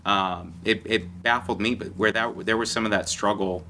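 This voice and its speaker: A man's voice: fairly low pitch, fairly even intonation, normal speed, pushed and bright, a little hiss or hum. A man speaking earnestly as a panelist in a conversational discussion, often repeating words as he goes.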